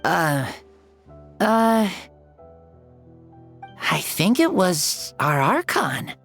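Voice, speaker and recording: Frail dreamy kid in an anime game, slow n hesitant, bit creaky, soft music under. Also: female voice, fairly low pitch, big pitch swings, heavily acted. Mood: unsure. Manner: hesitant, halting. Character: young frail child, dreamy and earnest.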